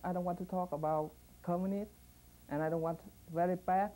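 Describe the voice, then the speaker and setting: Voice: medium pitch, steady tone, a little fast, mellow, low-quality recording. A speaker from Vietnam with a Vietnamese accent, speaking hesitant, broken English in an interview. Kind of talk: personal testimony.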